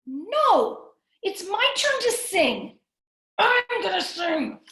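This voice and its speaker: An insistent little sister from a children's storybook, acted out by a woman reading aloud. The voice is very high and defiant, with a roomy echo around it. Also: very animated, extremely slow, bright, forceful tone, exaggerated voice.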